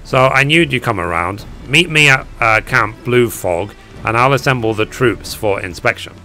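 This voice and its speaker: A man reading as a brisk army officer. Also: medium pitch, animated, pushed and bright, faint music behind. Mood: commanding. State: hoarse.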